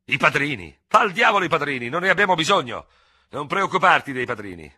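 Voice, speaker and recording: A man voicing a furious, hot-headed small Gascon cavalry lieutenant, sputtering, hissing and shouting in an audiobook story. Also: on the low side, fairly even intonation, a little fast, slightly rough, no echo, studio quality, voice pushed up. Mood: furious, impetuous.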